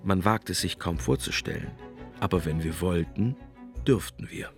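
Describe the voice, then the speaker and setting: Male documentary narrator, low pitch, measured explanatory read over music. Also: expressive. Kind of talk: scripted narration.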